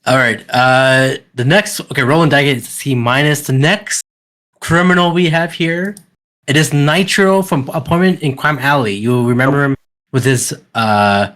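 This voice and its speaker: A man with a medium-pitched voice hosts a podcast. He moves a casual group chat along in a conversational style. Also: normal speed, fairly bright tone. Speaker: podcast host.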